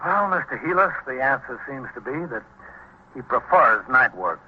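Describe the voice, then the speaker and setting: An actor playing a laconic police captain who narrates with measured dryness in vintage radio crime drama style. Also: male voice, mid-range voice, lively intonation, husky. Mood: dry. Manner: measured, dry. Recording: noisy vintage radio recording, muffled.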